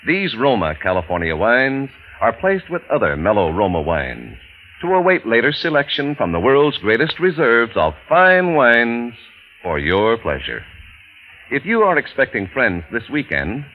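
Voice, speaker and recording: Male vintage radio announcer, bright and emphatic sponsor salesman, slightly noisy old recording. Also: medium pitch. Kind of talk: 1940s radio advertising narration.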